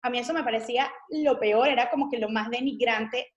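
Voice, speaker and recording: A Venezuelan woman in her thirties speaks with a Venezuelan Spanish accent and a voice that sits a little on the high side. She tells her own testimony earnestly and reflectively, with frequent small fillers. The room around her is echoey. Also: brisk, highs cut off. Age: 30s. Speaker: missionary guest. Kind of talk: interview, personal testimony.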